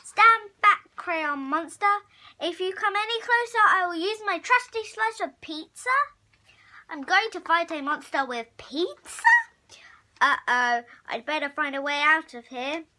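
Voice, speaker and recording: A child reading a picture storybook aloud expressively, acting out the hero and halting over some words. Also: extremely high pitch, sharp tone. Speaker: story reader.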